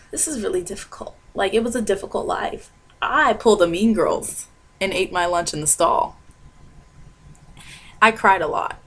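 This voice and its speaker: A woman's voice: medium pitch, measured pace, pauses often, a bit bright, slightly rough. A young woman from Maryland, telling a dramatic, self-mocking comic rant to her webcam in a small bedroom. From Maryland, USA. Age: young adult. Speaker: vlogger. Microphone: webcam at desk.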